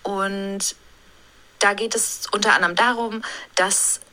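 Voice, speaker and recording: A woman in her thirties, a voice actress, reflecting thoughtfully on her long-running role in a recorded interview, pausing often to find her words. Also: mid-range voice, fairly even intonation, sharp tone, rough recording. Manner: thoughtful, musing, with frequent hesitations.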